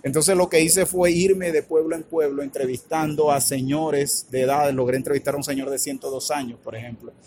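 A man with a Colombian Caribbean accent, answering interview questions in a halting, thoughtful way with many filler words. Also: a little high, steady tone, a crowd in the background. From Colombia's Caribbean region. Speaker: author and academic guest. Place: radio booth at a book fair stand.

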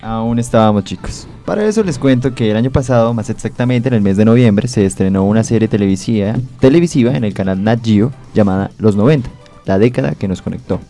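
A young man hosting a radio show, easygoing and conversational. His voice sits a little low with a dark, mellow tone, over a faint music bed. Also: quick pace. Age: 20s. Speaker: radio host. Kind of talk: radio segment presenting.

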